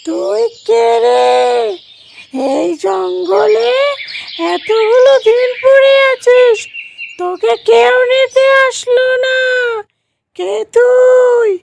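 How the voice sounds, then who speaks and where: A woman voicing an eerie, vengeful yet protective jungle ghost in a horror cartoon, drawn-out and wailing. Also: very high voice, gravelly, very noisy, pitched above the performer's own voice, full character voice.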